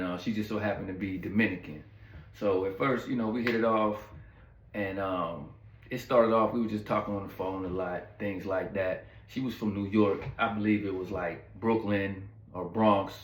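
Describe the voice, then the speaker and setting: A man with a fairly low voice talks loosely to his viewers, drifting through a personal story with lots of fillers and side remarks. His voice rings a little in a roomy, echoey space. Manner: rambling, laid-back, heavy on fillers and asides to viewers. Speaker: channel host telling a story. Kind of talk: casual storytime monologue.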